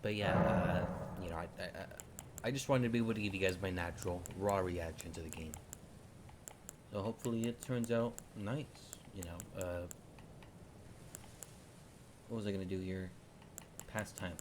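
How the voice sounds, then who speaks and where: A man's voice: sits low, normal speed, pauses often, a little hiss or hum. A man hosting a gaming video, rambling casually with many fillers and restarts as he comments on his play. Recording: loud game sounds and hoofbeats behind.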